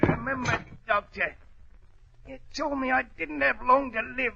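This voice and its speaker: A man's voice: very high-pitched, normal speed, creaky, background noise. An actor playing a desperate, vengeful gunman in 1940s radio style, menacing, bitter and agitated, on a muffled old recording. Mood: grim, dying.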